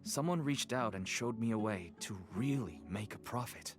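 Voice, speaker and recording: Music plays clearly under a somewhat low male voice. It is a tired merchant and loving father from a video game, acted by a man. He talks earnestly and persuasively, measuring out his words. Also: expressive, moderate pace, close to an everyday voice. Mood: earnest pleading. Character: weary, pleading merchant and devoted father turned smuggler. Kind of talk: video game dialogue acting. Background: background music.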